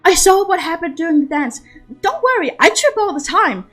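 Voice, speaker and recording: A woman voicing an eager, nervous young noblewoman in a story, friendly and apologetic, with hurried speech. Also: extremely high pitch, sing-song, fairly bright tone, soft background music, pitched above the performer's own voice, heavily acted. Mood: eager, reassuring.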